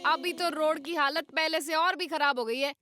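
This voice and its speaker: A woman's voice: high-pitched, steady tone, moderate pace, close to an everyday voice. A woman playing a bossy, theatrical police inspector in a children's cartoon, with exaggerated, exclamatory delivery. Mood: annoyed, sarcastic. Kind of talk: children's comedy cartoon dub. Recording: loud music under the voice.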